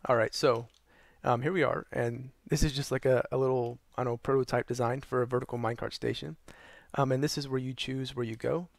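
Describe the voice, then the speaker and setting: A young man narrating a gaming tutorial while losing his voice, hoarse and tired, rambling with many ums and uhs. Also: steady tone, fairly quick, dry recording. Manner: tired, low energy. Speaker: gaming channel host. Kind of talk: casual tutorial narration over gameplay.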